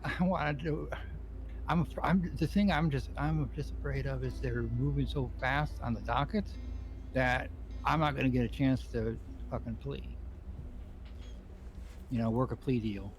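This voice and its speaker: A man's voice: medium pitch, steady tone, moderate pace, pauses often, a little hiss or hum, lightly acted, rough recording. A man playing an anxious, hesitant criminal defendant in improvised game roleplay, stammering and repeating his words. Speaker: roleplay streamer playing a character.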